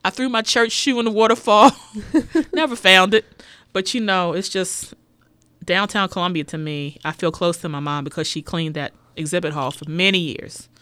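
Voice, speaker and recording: A middle-aged woman co-hosting a local radio show, reminiscing in a soft, heartfelt way. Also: fairly low pitch, normal speed, dry recording. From United States. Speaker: radio co-host. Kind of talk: casual radio chat.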